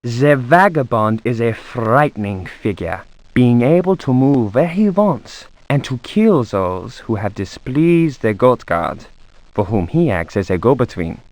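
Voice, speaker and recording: Occult scholar, game book narration, formal, grave, animated intonation, dry studio sound. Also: male voice, mid-range voice, no room sound. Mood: grave, scholarly. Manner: formal, measured academic delivery. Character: scholarly male occult researcher reading his grim treatise. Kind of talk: scripted dramatic reading.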